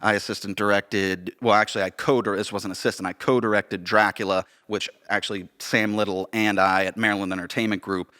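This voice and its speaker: Bright-voiced man, enthusiastic podcast guest. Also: fairly low pitch, level tone, a little fast, few pauses. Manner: enthusiastic, emphatic. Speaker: podcast guest, theater director and actor. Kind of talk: casual podcast chat.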